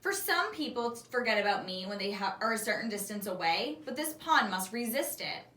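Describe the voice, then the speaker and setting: A woman's voice: mid-range voice, sing-song, fairly quick, bright, forceful tone. A woman reading a children's book aloud expressively, in the style of a teacher. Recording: echoey room, distant microphone.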